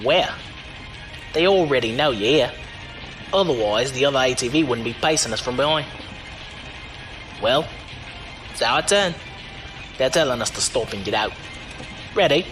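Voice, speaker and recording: A roguish driver-for-hire, acted by a man, breezy and unflappable. His pitch swings freely as he teases in folksy slang. Music plays behind him in this audio drama. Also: expressive, normal speed, long pauses, lightly acted, rough recording. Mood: cool, alert. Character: cocky roguish male driver-mercenary, wily and unflappable.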